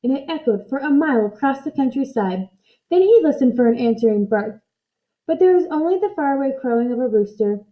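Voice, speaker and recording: A woman reading a children's story aloud in an expressive narrating style. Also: sits high, a little fast, phone-quality sound. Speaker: storybook reader.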